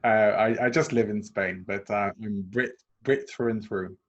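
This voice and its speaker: An English man, a presentation coach, answering interview questions in a measured way with some hesitant fillers. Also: fairly low pitch, steady tone. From England. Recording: dull lo-fi call audio.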